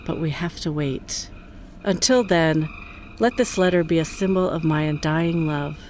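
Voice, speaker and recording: Woman playing a low-voiced, lovelorn noir wife. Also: measured pace, a little mellow, slight background noise. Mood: yearning. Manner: tender, formal. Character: yearning married woman reading her secret love letter. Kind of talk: romantic voice-over in noir audio drama.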